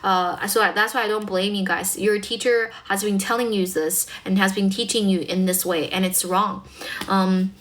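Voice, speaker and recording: A woman's voice: medium pitch, a bit bright. Young woman, north american accent, native chinese speaker, casual chatty english tutor, lots of um and like. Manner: chatty, encouraging, filler-heavy. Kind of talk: casual livestream Q&A.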